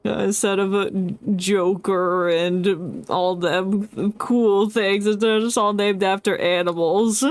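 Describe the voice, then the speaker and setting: A woman's voice: mid-range voice, steady tone, takes it slowly, few pauses, no room sound. A woman streamer giving casual, joking game commentary, playfully talking back at what she is playing. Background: game music.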